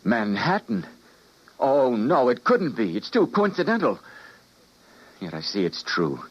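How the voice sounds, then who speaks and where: An actor playing a weary, idealistic scientist and time traveler, narrating and speaking gravely and dramatically in an old-time radio drama. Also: male voice, medium pitch, big pitch swings, a little slow. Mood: resigned, urgent. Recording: dull old radio recording.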